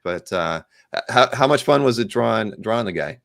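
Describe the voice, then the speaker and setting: A man from the American Midwest hosting a live art sale stream. He talks in a halting way, with many fillers and repeated words. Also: on the low side, lively intonation, vocal fry, a bit bright, dry recording, clean studio sound. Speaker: host.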